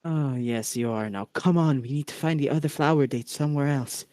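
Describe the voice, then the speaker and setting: A man's voice: mid-range voice, brisk, a little mellow, dry recording, studio quality, natural voice. A man playing a focused, impatient teenage wizard schoolboy in an amateur audio drama. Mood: urgent, playful. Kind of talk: hobby audio drama acting.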